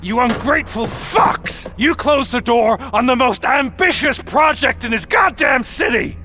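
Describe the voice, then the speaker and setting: An actor voicing a desperate, theatrical male crime boss in a video game cutscene, swinging from sly to shouting. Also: extremely high pitch, animated, a little slow, over music. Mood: furious. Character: desperate, ranting male crime boss taunting a cyborg cop.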